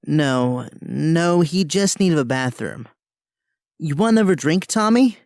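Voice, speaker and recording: A man's voice: a little high, animated, slow, a little mellow, no echo, studio quality. A man playing an earnest, slightly awkward teenage boy in an audio drama, hesitant and friendly. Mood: reassuring.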